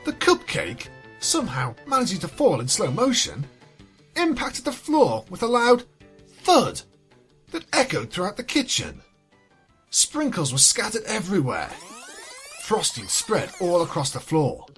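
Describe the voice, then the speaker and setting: A woman's voice: mid-range voice, wildly swooping pitch, measured pace. A woman reading fan fiction aloud as a dramatic scripted narration, with a wry, theatrical manner. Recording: music behind the voice.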